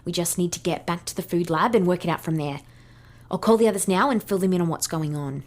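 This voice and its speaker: Woman acting Vanessa, an earnest, lower-voiced team leader. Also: fast, darkish tone, natural voice. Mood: decisive, organised. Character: Vanessa, brilliant, guilt-ridden woman leading the team, determined. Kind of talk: audio fiction acting.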